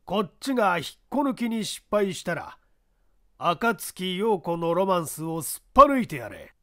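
Woman as middle-aged newspaper boss, male, gruff, commanding, scheming, unhurried, storybook drama. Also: lowish voice, sing-song, pushed and bright, full character voice. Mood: stern, scheming. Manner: commanding, blunt orders.

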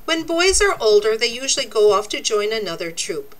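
A woman reading a children's nonfiction book aloud, with deliberate, expressive, teacherly phrasing. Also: fairly high pitch. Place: indoors, book laid open before a camera.

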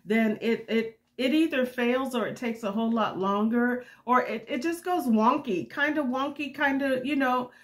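A middle-aged woman getting over a cold, a little raspy, giving a candid, unscripted devotional talk to women as a ministry host. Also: fairly high pitch, moderate pace, hardly any pauses. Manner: candid, unscripted, repeats and restarts.

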